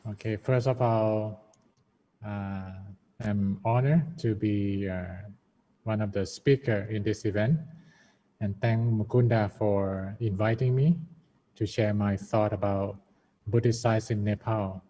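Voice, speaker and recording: A Thai-accented man speaks slowly and in a measured way, with a mellow, low voice. He gives a formal talk as a guest speaker. His video-call audio is dull and muffled, with a faint hiss underneath. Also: fairly even intonation, long reverb tail. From Thailand. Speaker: Buddhist monk, guest speaker. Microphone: computer mic on a video call.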